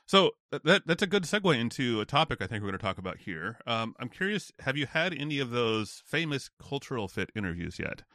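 A man's voice: medium pitch, big pitch swings, fairly quick, few pauses, sharp tone, no room sound, studio quality. An American man co-hosting a casual podcast, talking in a joking way. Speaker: podcast co-host.

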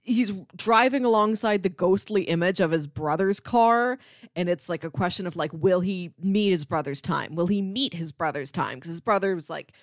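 A woman with a slightly low voice chats about movies in a dry, close recording. She is enthusiastic and analytical, rambling through her thoughts with plenty of "like" along the way. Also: moderate pace, no echo. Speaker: film podcast host. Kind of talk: casual film discussion.

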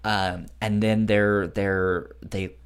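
A man co-hosting a podcast in casual chat, halting and repeating words while searching for phrasing. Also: on the low side, level tone, smooth. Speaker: podcast co-host. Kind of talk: casual chat reviewing manga.

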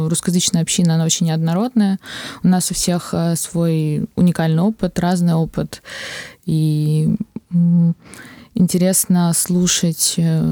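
A woman on a podcast, speaking hesitantly with many fillers and pauses. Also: fairly low pitch, gravelly, dark tone, dry recording, studio quality. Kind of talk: podcast conversation.

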